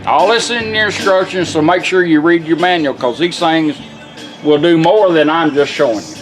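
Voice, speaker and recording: A man's voice: fairly high pitch, normal speed, soft background music, low-quality recording. A man giving a casual, hands-on product demonstration, explaining controls step by step in a folksy, informal way. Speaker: product demonstrator, radio builder.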